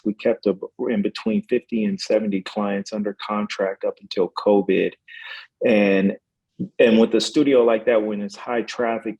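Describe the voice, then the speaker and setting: A man's voice: lowish voice, a little mellow, low-quality recording. A man who works as an EMS trainer, talking casually in a webinar about his clients, with many fillers and restarts.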